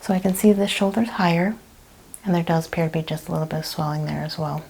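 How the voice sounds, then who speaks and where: A woman speaking in a gentle, hushed, partly whispered ASMR roleplay style, asking questions as a chiropractor. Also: on the low side, fast, gravelly, breathy edge, rough recording. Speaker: ASMR host playing a chiropractor. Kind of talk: soft-spoken ASMR roleplay.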